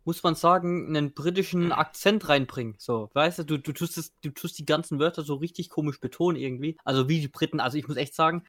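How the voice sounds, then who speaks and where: Young guy, outspoken friend, voice sits a bit high. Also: fast, hardly any pauses, a bit bright. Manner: blunt, outspoken opinions. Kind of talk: casual chat.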